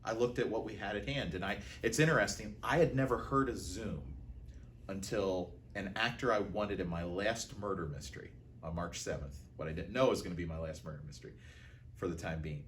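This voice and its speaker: A man's voice: low-pitched, brisk, fairly bright tone, a little hiss or hum. An American man giving a conversational interview account as a theater art director, telling it like a storyteller.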